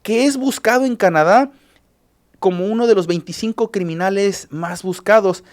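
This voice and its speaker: A man speaks with a Mexican Spanish accent, his voice sitting a little high for a man. He talks as a journalist guest on a radio news show, pausing often with filler sounds and restarting his sentences. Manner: halting, restarts with filler sounds, recapping cases.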